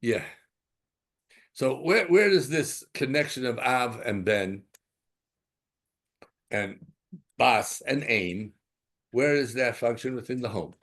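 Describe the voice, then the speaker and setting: A man's voice: medium pitch, expressive, slow, pauses often, a bit bright. A man giving an informal Torah class, asking rhetorical questions and mixing in Hebrew terms as he drifts into side stories. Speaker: Torah teacher.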